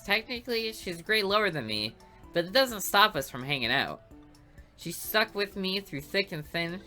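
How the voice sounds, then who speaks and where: A woman's voice: on the low side, sharp tone. A woman reading a visual novel aloud, narrating in a dry, sarcastic first person.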